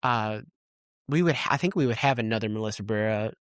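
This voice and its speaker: A man's voice: on the low side, fast talker, smooth, no echo, clean studio sound. A man co-hosting a podcast in casual movie chat, rambling and blunt, swearing freely. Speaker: podcast co-host.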